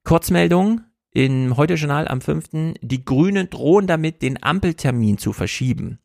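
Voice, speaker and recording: Gravelly, opinionated male stream host. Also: on the high side, animated, moderate pace, darkish tone, no echo, clean studio sound. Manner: opinionated, repeats "grotesk", restless. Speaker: livestream host. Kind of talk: casual political commentary.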